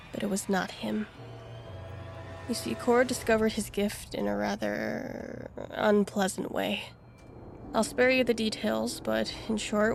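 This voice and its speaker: A woman playing an earnest, anxious young heroine, narrating her own story in a measured way for an audio drama. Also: a little high, gravelly, darkish tone. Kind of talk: scripted first-person audio drama narration.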